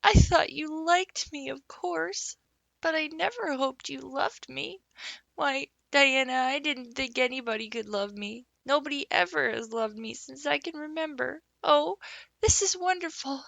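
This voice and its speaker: A woman's voice: sits high, measured pace, vocal fry, a bit bright, dry recording, voice pushed up, full character voice. A woman voicing a dramatic, romantic young orphan girl who speaks in flowery, earnest phrases. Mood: heartfelt.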